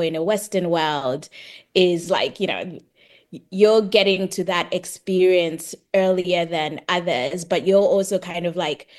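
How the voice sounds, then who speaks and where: Low-pitched woman from Zambia, conversational. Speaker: podcast guest, tech project manager and author. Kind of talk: conversational interview answers.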